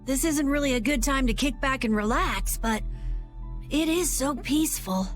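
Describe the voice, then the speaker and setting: A woman voicing an excitable, earnest young boy in a video game cutscene, youthful and expressive. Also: high-pitched, moderate pace, soft background music, natural voice. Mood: worried, surprised.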